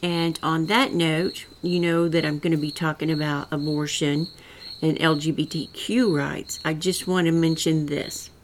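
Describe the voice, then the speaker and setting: A woman's voice: low-pitched, flat delivery, a little slow, slight background noise. An older woman with a rural Missouri accent, hosting her own podcast in a folksy, rambling, earnest monologue. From rural Southwest Missouri. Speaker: podcast host. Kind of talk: solo political commentary.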